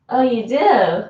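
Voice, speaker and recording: A chatty woman from Toronto livestreams from her home kitchen, her fairly high voice answering chat between easy laughs. She sits back from the mic, and the room sounds echoey around her. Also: distant mic, lo-fi sound. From Toronto, Canada. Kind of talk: casual livestream chat while cooking.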